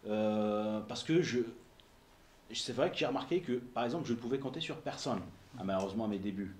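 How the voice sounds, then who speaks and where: A man's voice: fairly low pitch, a little fast. Male host, casual and hesitant delivery, roomy recording with faint noise. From abroad, emigrated to France. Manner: informal, uses fillers like "on va dire", hesitant. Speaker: interviewer, podcast host. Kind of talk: casual interview.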